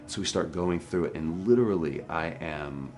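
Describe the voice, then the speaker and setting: A man's voice: low-pitched, normal speed, darkish tone. A man telling a personal anecdote as an actor, animatedly acting out the dialogue he quotes.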